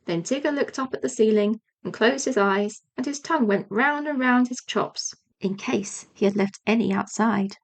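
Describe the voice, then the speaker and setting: A woman's voice: fairly high pitch, normal speed, hardly any pauses. A woman reading a children's storybook aloud as audiobook narration. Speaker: audiobook reader.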